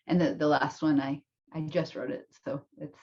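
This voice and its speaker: Low-voiced woman, halting, slightly muffled. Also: flat delivery. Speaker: poet on a panel. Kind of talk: casual, reflective panel discussion. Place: remote video call. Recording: dull, lo-fi video call audio.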